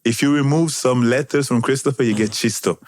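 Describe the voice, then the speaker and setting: A man in his thirties, a podcast guest, telling his life story in a relaxed chatty way, chuckling and saying "you know, man" a lot. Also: medium pitch, brisk, no room sound. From Italy. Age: 30s. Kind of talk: casual conversational storytelling.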